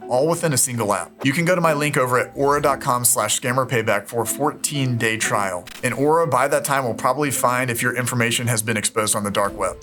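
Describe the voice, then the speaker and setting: Low-pitched man narrating over music. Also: sharp tone. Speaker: host of a scam-baiting show. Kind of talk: narration to viewers with sponsor read.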